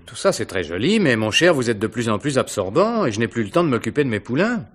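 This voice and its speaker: A man playing a tactful, guarded theatre agent in a radio mystery, speaking in measured, articulate phrases. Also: mid-range voice, big pitch swings. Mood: firm. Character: discreet, tactful male theatre agent under questioning. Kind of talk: 1950s French radio mystery acting.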